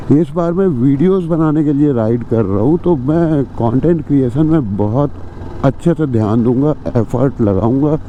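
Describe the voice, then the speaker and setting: A man's voice: medium pitch, mellow. An Indian man talking casually and informally to his viewers as a motorcycle travel vlogger, recorded outdoors. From rural India. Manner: informal, addresses viewers as brothers. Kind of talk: casual vlog talk to viewers. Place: outdoors, village roads and roadside eatery. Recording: muffled, dull sound with outdoor noise.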